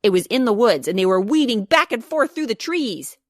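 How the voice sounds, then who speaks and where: A woman voicing an excitable young boy telling a dragon tale with dramatic emphasis for a children's storybook. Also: on the high side, brisk, no room sound, studio quality, pitched above the performer's own voice. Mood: thrilled. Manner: dramatic, emphatic, building suspense.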